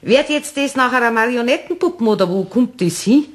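A woman's voice: on the high side, expressive, a little fast, lightly acted. A woman playing a chatty Bavarian neighbor lady. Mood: friendly. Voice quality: chatty, homely. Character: friendly chatty middle-aged neighbor woman. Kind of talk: 1960s German radio play acting.

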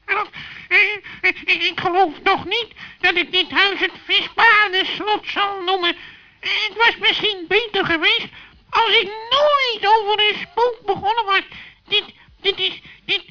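An excitable little seahorse creature for a children's story. The voice is extremely high and piercingly bright, muttering slowly and full of boasts that easily turn to fright. The old recording is dull. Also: male voice, heavily acted. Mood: anxious, hesitant. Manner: drawn-out muttering with giggles and stammers. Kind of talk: children's audio story character voice.

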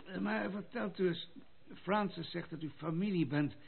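Creaky, husky old aristocratic general. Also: male voice, high voice, heavily acted. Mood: hesitant, courteous. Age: older. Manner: hesitant, courteous, faltering with small pauses. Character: courteous, hesitant old aristocratic grandfather, retired general. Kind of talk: Dutch radio play acting. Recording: tinny phone-like old radio play recording.

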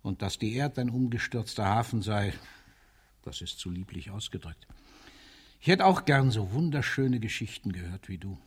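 An actor playing a world-weary, cynical military ruler in a radio drama, speaking in a bitter, deliberate, rhetorical way. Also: male voice, on the low side, animated, slightly rough. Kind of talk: theatrical radio drama monologue.

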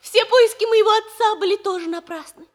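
Юную влюблённую барышню, голос очень высокий. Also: female voice, extremely high pitch, brisk. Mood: rapturous. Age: young adult. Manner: pleading, melodramatic. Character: sweet, lovelorn young daughter, plaintive and sentimental. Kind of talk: 1960s Soviet radio comedy acting.

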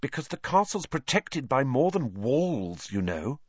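A man's voice: mid-range voice, sing-song, a little slow, slightly rough, no room sound, muffled recording, pitched above the performer's own voice. A man voicing an anxious, bossy schoolgirl who lectures crossly in an audiobook. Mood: cross lecturing. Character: young girl, anxious, bossy know-it-all.

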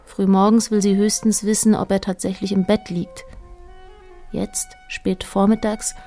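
A woman's voice: medium pitch, little pitch movement, measured pace, mellow, faint music behind, close to an everyday voice. A woman narrating a literary radio play as a detached, observant storyteller, in short plain sentences.

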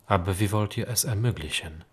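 A husky, scheming nobleman. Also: on the low side, a little fast. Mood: persuasive. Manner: smooth-talking and persuasive. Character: scheming nobleman in disguise, bold and persuasive. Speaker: Saklika, a nobleman. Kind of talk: radio play acting.

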